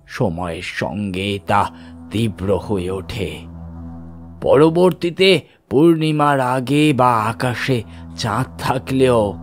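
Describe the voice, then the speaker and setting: A man playing a grave village priest in an audio horror story, speaking in a measured, explanatory way. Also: fairly low pitch. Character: solemn village priest warning of a bone-eating demon.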